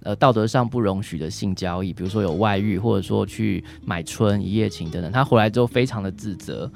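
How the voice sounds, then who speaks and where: Male doctor as radio guest, low and gravelly, Taiwanese Mandarin accent, hesitant explainer. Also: brisk, a little mellow, faint music behind. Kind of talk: explanatory interview answers on a radio show.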